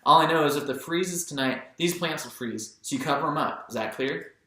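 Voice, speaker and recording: A man's voice: fairly high pitch, brisk, sharp tone, very echoey, pitched above the performer's own voice, low-quality recording. A man voicing a bossy, scolding older Southern woman neighbour in an audiobook, speaking in exclamations. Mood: brisk scolding. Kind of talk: audiobook character voice.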